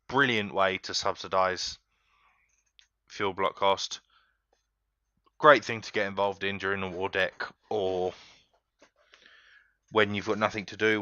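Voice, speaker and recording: A man hosting a casual game tutorial, walking viewers through each step in a relaxed, chatty way and often calling them guys. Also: deep voice, clean tone, dry recording, muffled recording. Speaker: gaming tutorial host.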